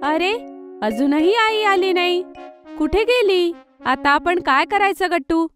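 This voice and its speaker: A woman voicing a sensible, expressive young girl in a children's cartoon. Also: very high-pitched, measured pace, soft background music, heavily acted. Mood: bored, cheerful. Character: young girl Chinki, sensible older-sister type, cartoon.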